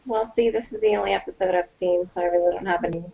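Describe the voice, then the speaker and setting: Woman guest chatting casually, lots of vocal fry, muffled lofi sound. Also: lowish voice, steady tone, fast, warm tone. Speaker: guest on a review video. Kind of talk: casual chat.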